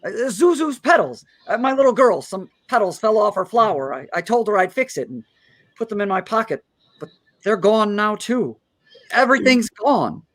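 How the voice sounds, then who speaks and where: A bewildered, frantic family man acted by a man stammers through an old-style radio drama. His pitch swings widely, and the sound is dry and close. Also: big pitch swings, moderate pace, a bit bright, no room sound, heavily acted. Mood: distraught. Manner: stammering, agitated. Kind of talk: old-time radio play acting.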